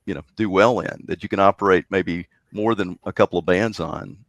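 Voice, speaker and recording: A man with a very low voice chats as a podcast guest, stopping and restarting his sentences often with plenty of ums. The sound is dry and close, with no room around it. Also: dry recording. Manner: halting, many fillers and restarts. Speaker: guest, contest organizer. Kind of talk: casual podcast chat.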